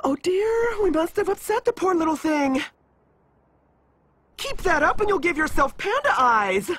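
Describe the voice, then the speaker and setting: A woman's voice: very high voice, big pitch swings, a little slow, fairly bright tone, slight background noise, full character voice. A woman playing a flirty, teasing woman bartender in a dubbed video game cutscene, with a gushing drawl. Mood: teasing, playful.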